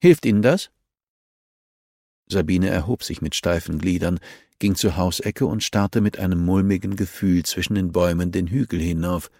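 A man's voice: deep voice, steady tone, normal speed, darkish tone, no echo, studio quality. A man reading a crime novel as an audiobook narrator, in scripted narration.

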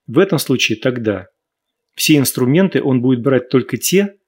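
A man hosts a live radio talk show. His voice sits at an ordinary middle pitch. He explains his ideas in a teaching manner, building his points up with examples. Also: animated, moderate pace, a little mellow, husky. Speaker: radio host.

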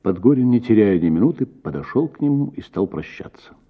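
A man reading a literary short story as expressive, theatrical audiobook narration for radio, with deliberate pauses and a husky voice. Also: fairly low pitch, phone-quality sound.

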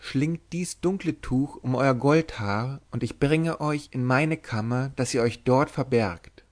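A man's voice: mid-range voice, a little slow, close to an everyday voice. Man voicing a bold, secretive young witch-maiden. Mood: brisk, conspiratorial. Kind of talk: dramatic literary audiobook reading.

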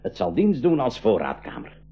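A man's voice: lowish voice, wildly swooping pitch, normal speed, creaky, full character voice. A man playing an eccentric, kindly inventor uncle in an educational radio drama, with a Flemish accent and a theatrical, teasing way of explaining. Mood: enthusiastic. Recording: dull 1980s radio drama recording.

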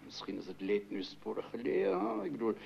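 An actor playing a fussy, bumbling doctor, stammering and awkwardly polite, in old radio comedy style. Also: male voice, lowish voice, big pitch swings, fairly quick, creaky, husky, heavily acted. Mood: confidential, awkward. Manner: stammering, hesitant, flustered. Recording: muffled old radio recording.

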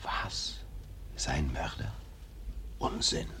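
An actor playing a stern, sceptical police lieutenant in a radio play, curt and authoritative. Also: male voice, very slow, whispered. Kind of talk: German radio play acting.

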